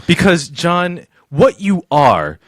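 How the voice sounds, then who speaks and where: A man's voice: fairly high pitch, huge pitch swings, takes it slowly, a bit bright, no echo, studio quality. A man co-hosting a podcast, teasing a rival fan in casual trash-talking chat and stammering into repetitions. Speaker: podcast co-host.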